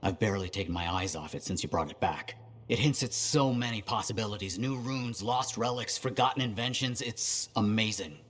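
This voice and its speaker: A man playing an eager, bookish inventor in a video game, with an expressive and enthusiastic delivery. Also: on the low side, brisk. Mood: absorbed, delighted. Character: eager scholarly male inventor, former street-kid prodigy. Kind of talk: video game dialogue acting.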